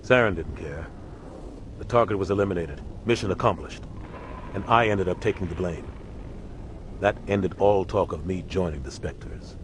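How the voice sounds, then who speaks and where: An actor playing a seasoned older male navy captain in a video game, bitter but composed, with a measured, weighty delivery. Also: low-pitched, a little hiss or hum, natural voice. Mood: bitter, grave.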